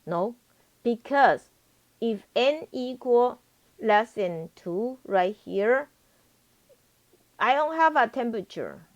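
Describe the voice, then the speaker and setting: A woman's voice: fairly high pitch, expressive, slow, long pauses, dull audio. A woman teaching a live programming lesson as a professor, repeating herself and often checking that students understand. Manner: repetitive, frequently checks for understanding.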